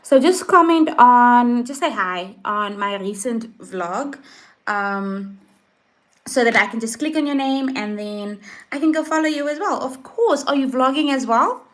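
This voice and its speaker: A South African woman in her thirties, a lifestyle vlogger chatting casually on a livestream, soft-spoken with frequent ums and restarts. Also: a little high, animated, unhurried. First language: Afrikaans.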